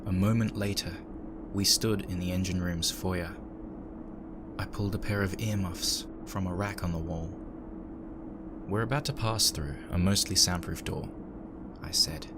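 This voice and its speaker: A man narrating a dark first-person story in a measured, somber, dramatic reading style. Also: low voice, steady tone, background noise. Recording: loud engine and ambient sound effects. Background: background sound effects of ambience and machinery.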